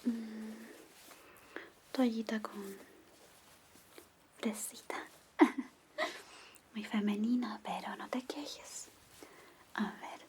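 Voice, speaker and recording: A woman playing a caring, protective young girlfriend in a soothing, gently firm whispered ASMR roleplay. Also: on the high side, fairly even intonation, very slow, long pauses, slight background noise. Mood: tender, caring.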